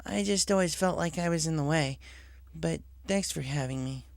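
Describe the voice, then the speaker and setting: A man's voice: on the high side, moderate pace, creaky, no echo, voice pushed up. A man voicing a confident, casual young female pegasus pony in a story reading. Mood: sincere.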